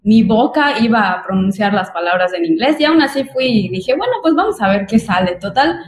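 A woman's voice: mid-range voice, lively intonation, brisk. A Mexican woman with a Yucatán accent, chatting casually about her work as a developer, thoughtful and hesitant with many fillers. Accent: Yucatán Mexican Spanish. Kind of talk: casual chat. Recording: echoey room.

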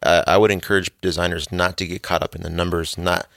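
A man offering relaxed, encouraging advice in a casual podcast conversation. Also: sits low, fast talker, gravelly, dry recording, studio quality.

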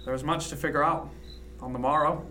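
A man playing a reserved first mate in a radio drama, quietly tender, with an understated, formal delivery. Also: a little high, steady tone, a little fast, slight background noise. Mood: dry, teasing.

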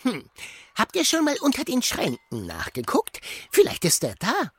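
Little curious critter, squeaky-high, husky, swooping melody, drawling, naive, children's audio play. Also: female voice, huge pitch swings, moderate pace, slight background noise. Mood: curious, playful.

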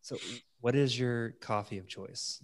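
A man's voice: mid-range voice, measured pace. A man hosting a podcast, easygoing and joking with his guest. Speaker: podcast host.

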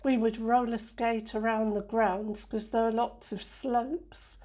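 A woman from England answering interview questions in an unhurried, reflective way, with many fillers and restarts. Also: a little high, flat delivery, mellow.

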